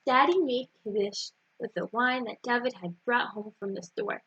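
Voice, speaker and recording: A woman storyteller reading aloud to children in an animated style. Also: on the high side, moderate pace, slightly rough. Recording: dull, roomy lo-fi recording.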